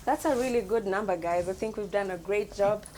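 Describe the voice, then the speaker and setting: A woman's voice: mid-range voice, fairly quick, dark tone. Kenyan woman hosting, with noisy background hum. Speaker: co-host of a forum. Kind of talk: upbeat conversational presenting.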